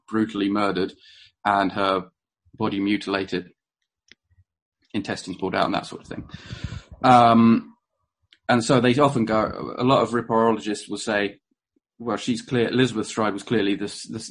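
A man giving an informal history talk to a room, full of ums and uhs, self-correcting and dropping wry asides. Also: lowish voice. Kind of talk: informal spoken presentation to a live audience.

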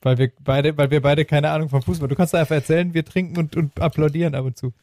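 Male podcast co-host, gravelly and dry-sounding, loose colloquial chat with repeated phrases. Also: fairly high pitch, fairly even intonation, quick pace, a little mellow, no room sound. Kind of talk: casual chat.

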